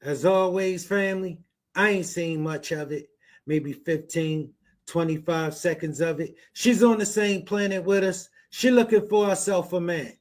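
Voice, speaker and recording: A man's voice: high voice, measured pace. A man hosting a podcast, talking to his viewers in a hyped, informal, slangy way. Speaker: podcast host. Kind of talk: reaction show intro, addressing viewers.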